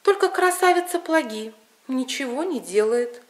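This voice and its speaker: A woman storyteller reading a scripted folk tale in an expressive, deliberate way. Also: high voice.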